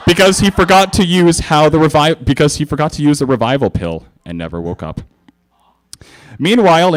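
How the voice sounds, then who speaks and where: A man giving a theatrical, sardonic live comic reading of fan fiction, with mocking asides. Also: on the high side. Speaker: fan fiction reader at a convention.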